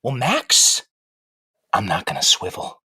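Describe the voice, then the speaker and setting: A man playing a philosophical wooden ventriloquist dummy in a comic audio play, an insistent, questioning underdog with a husky voice. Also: fairly low pitch, huge pitch swings, slow, sharp tone, full character voice. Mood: aggrieved, defiant.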